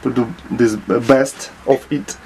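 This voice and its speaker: A man with a Russian accent explaining his own restoration work in a small home workshop, speaking haltingly with many filler sounds and restarts. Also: mid-range voice, steady tone, darkish tone, a little hiss or hum. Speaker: hobbyist restorer. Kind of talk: hesitant show-and-tell narration.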